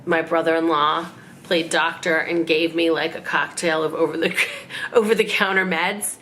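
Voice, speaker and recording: A woman in her forties, raspy from a cold, chatting and rambling in a casual haul vlog at home. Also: sits low, fairly even intonation, normal speed, fairly bright tone, a little hiss or hum. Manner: chatty, rambling, self-mocking. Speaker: fashion vlogger. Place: living room at home.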